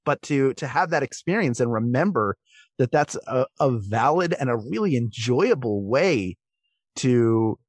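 A man's voice: medium pitch, lively intonation, measured pace, breathy edge, no echo. Dry, close-sounding man chatting casually. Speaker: podcast guest, voice performer. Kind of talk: casual discussion on a podcast.

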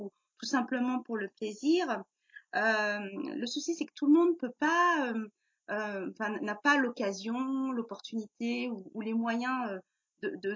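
Female podcast host, husky, hesitant, dull lo-fi sound. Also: on the high side, fairly bright tone. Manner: hesitant, many fillers. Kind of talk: casual interview talk.

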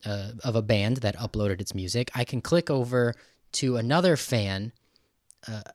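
A man hosting a podcast in a casual, halting, thinking-aloud way as he talks with a guest. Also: mid-range voice, dry recording, studio quality. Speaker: podcast host interviewing a guest. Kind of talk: casual conversational podcast talk.

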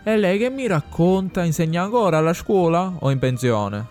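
Effusive, affectionate middle-aged cop, acted by a male narrator, familiar chatter at raised pitch, music underneath. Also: animated, moderate pace, darkish tone. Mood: cheerful. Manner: effusive, familiar small talk. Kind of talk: acted dialogue in an Italian audio story.

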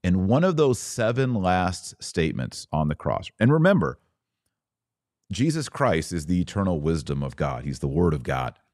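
A man's voice: sits low, big pitch swings, normal speed, a little mellow, no room sound, studio quality. A man hosting a religious podcast, explaining scripture in a didactic, emphatic way, drawing out key words and posing rhetorical questions. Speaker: podcast host.

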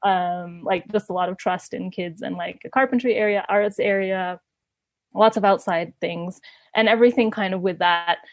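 A woman's voice: mid-range voice, moderate pace, dry recording. A woman in her thirties from Canada, chatting as an interview guest, laughing often between fillers and restarts. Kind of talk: casual conversational storytelling.

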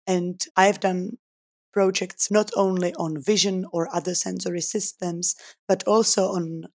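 A woman from the Republic, a research scientist giving thoughtful interview answers with frequent pauses. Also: lowish voice, fairly even intonation, darkish tone, no room sound, clean studio sound. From Czech Republic. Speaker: guest scientist.

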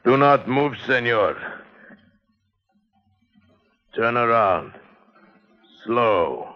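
An actor playing a wary shopkeeper with halting, terse speech in a 1950s adventure drama. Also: male voice, medium pitch, sing-song. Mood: wary, tense. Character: wary Portuguese shopkeeper, beaten but loyal informant. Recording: muffled, dated 1950s soundtrack.

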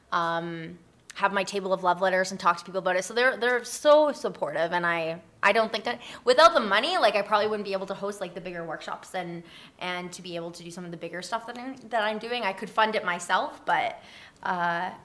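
A woman's voice: lowish voice, brisk, a bit bright. A woman chatting casually as an interview guest, informal and self-deprecating, often saying like. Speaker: interview guest, community project founder. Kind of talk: casual chat.

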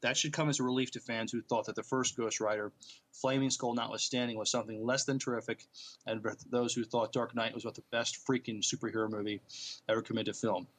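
Man co-hosting a casual podcast, medium pitch. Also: steady tone, fast, fairly bright tone. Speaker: podcast co-host. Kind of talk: casual movie news chat.

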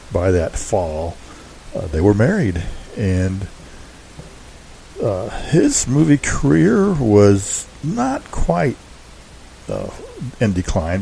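A man hosting a show, giving casual, unscripted background commentary in a halting, rambling, hedging way. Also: lowish voice, creaky, mellow, poor audio. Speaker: host introducing an old-time radio show. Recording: faint background hiss.

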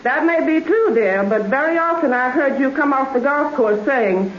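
A woman's voice: sits high, lively intonation, moderate pace. A woman, a radio guest in teasing scripted banter. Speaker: celebrity's wife, radio guest. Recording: muffled, echoey, noisy 1930s radio.

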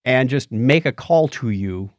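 A middle-aged man talks casually as a podcast co-host. His mid-pitched voice rises and falls in an animated way. The sound is close and dry, with no room on it. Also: a little slow, dry recording. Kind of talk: casual chat about gadgets.

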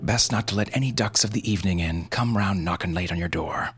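Man, fairly low pitch, deadpan tongue-in-cheek host, clear background music. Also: brisk. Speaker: podcast host. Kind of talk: scripted comic podcast hosting. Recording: music behind the voice.

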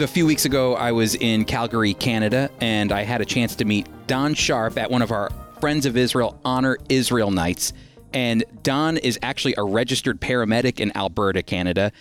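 A man hosting a radio program, presenting introductions and interviewing a guest. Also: on the low side. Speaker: radio show host and Bible teacher.

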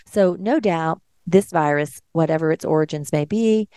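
A middle-aged nurse from Georgia, hosting a monologue show and speaking earnestly and emphatically to her listeners. Her voice has stayed deeper since an illness. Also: normal speed, dark tone, smooth, no room sound, clean studio sound. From Georgia, USA. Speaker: nurse and podcast host. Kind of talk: monologue commentary.

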